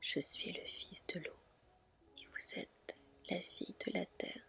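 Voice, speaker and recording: A woman's voice: slow pace, bright, forceful tone. A woman voicing a noble male dragon lord of the sea in a whispered storybook reading, formal and tender. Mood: solemn, resigned. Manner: formal, solemn, tender address. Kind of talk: whispered ASMR storybook dialogue.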